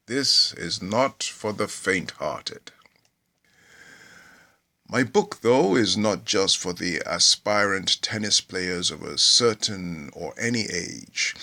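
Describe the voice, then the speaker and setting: Slow, bright-voiced male reader from Nigeria. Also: mid-range voice. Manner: measured, theatrical storytelling delivery. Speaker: actor reading a book aloud. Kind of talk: scripted narration of a humorous memoir.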